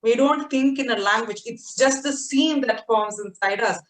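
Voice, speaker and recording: A woman's voice: a little high, normal speed. A woman interviewing an author, recalling stories in a reflective, conversational way. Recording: roomy sound from a distance.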